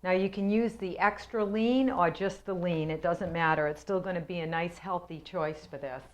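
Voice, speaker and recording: A woman's voice: fairly low pitch, normal speed, a little hiss or hum. A woman hosting a cooking show from her kitchen, chatty and homey as she explains each step. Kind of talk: home cooking demonstration. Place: home kitchen.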